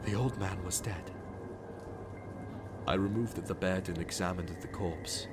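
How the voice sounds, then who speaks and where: A man giving a dramatic reading of a gothic tale, theatrical, swinging between hushed whispers and frenzied shouts. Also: sits low, unhurried. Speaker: reader. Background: clear music.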